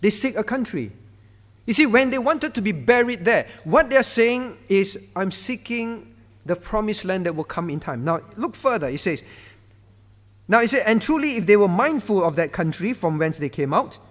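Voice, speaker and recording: A man's voice: high-pitched, big pitch swings, moderate pace, fairly bright tone. A male pastor, preaching a sermon in a conversational way and often turning to the congregation. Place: church hall. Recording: reverberant church hall.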